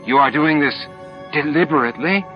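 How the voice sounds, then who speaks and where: A man plays an anxious male alien hive underling in an audiobook drama. His pitch is pushed up as he pleads and protests, gasping and indignant. The sound is a dull tape with music. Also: moderate pace, natural voice. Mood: appalled. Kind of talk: audiobook character acting.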